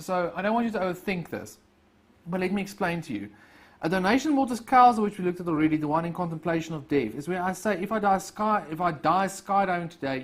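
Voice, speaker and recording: A man delivers a recorded tax lecture. His pitch rises and falls a lot as he steps through examples and acts out little quoted exchanges. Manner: explains with lively everyday examples and quoted asides. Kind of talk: recorded lecture over slides. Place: voice-over on slide screen recording.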